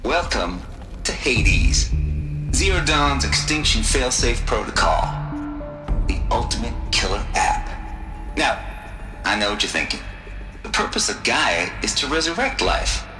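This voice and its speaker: A brash, folksy engineer pitches his idea like a salesman, cracking wise as he goes. His voice leaps around in lively swings, and it rings in a roomy space with music playing underneath. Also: unhurried, long pauses. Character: brash folksy male engineer in archive recording. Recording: roomy sound with music behind.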